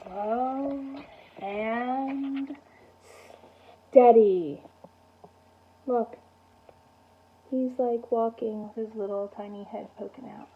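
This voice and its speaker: A woman teacher doing an expressive picture-book read-aloud for young children, commenting and asking prompting questions in an animated way. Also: fairly high pitch, drawn-out words, long pauses, phone-quality sound, a little hiss or hum. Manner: expressive, animated storytelling with gasps and exclamations.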